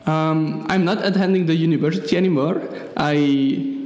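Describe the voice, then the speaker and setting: A man's voice: fairly high pitch, steady tone, normal speed, darkish tone. A young man from Switzerland with a Swiss German accent, a guest chatting casually and hesitantly in an interview. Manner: hesitant, many fillers and restarts, laughs easily. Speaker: guest, app developer. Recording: echoey room with long reverb.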